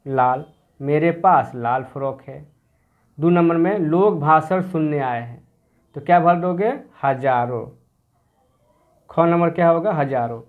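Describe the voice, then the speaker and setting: A man's voice: on the high side, narrow, tinny audio. A man teaching a grammar workbook to young children, asking questions and answering them himself as he repeats the key words. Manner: mixes English words into the explanation.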